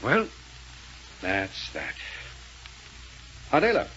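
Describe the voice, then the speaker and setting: An actor playing a ruthless, impatient gang leader who snaps orders, in a 1930s radio serial style. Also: male voice, medium pitch, wildly swooping pitch, very slow, bright, forceful tone, exaggerated voice. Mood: brisk, commanding. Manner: curt, bossy, snapping orders. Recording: muffled, noisy 1930s radio recording.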